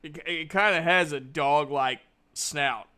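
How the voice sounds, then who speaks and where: A man's voice: on the high side, slow, sharp tone, smooth. A man improvising casual tabletop roleplay narration in a jokey, colloquial way. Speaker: tabletop RPG player.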